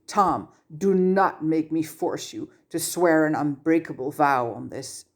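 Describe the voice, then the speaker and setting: A woman's voice: low-pitched, unhurried. A woman voicing a kindly but firm man, a wizard teacher and mentor, in an audiobook story. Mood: stern, insistent. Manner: gentle, patient, firm.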